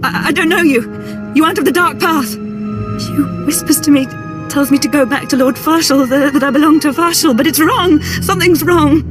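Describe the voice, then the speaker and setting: An actress playing a tormented young Sith woman in a video game, intense, halting and struggling for control of her mind. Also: high-pitched, lightly acted. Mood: frightened, confused. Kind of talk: dramatic video game voice acting. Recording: music behind the voice.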